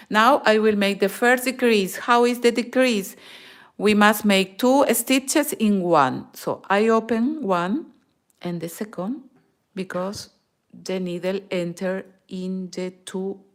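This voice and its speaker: A woman's voice: mid-range voice, takes it slowly. A woman from Peru with a Peruvian Spanish accent, a native Spanish speaker, teaching knitting patiently and counting stitches aloud, sometimes slipping into Spanish. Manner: mixes in Spanish words. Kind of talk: knitting tutorial.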